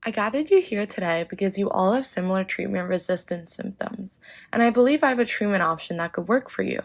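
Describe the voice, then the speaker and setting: A woman's voice: mid-range voice, animated, fairly quick. A teenage girl voicing a female doctor who addresses her patients, reading her lines plainly. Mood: friendly.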